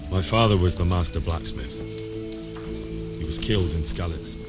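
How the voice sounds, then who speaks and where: A low-voiced, earnest young man of the forge, plain-spoken, played by a male actor for a medieval game. His deep speech sits over loud music. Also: normal speed, close to an everyday voice. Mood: subdued. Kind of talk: video game dialogue acting.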